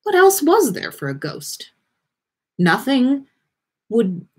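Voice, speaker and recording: A woman's voice: fairly high pitch, wildly swooping pitch, slow, breathy edge. A woman reading a story aloud expressively, with theatrical emphasis and the occasional stumble. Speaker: podcast host reading listener fan fiction aloud.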